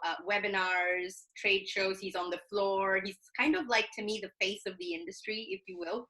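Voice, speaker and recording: A woman's voice: medium pitch, sharp tone, low-quality recording. A woman hosting a chat show over a video call, upbeat and friendly as she introduces and questions her guest. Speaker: host.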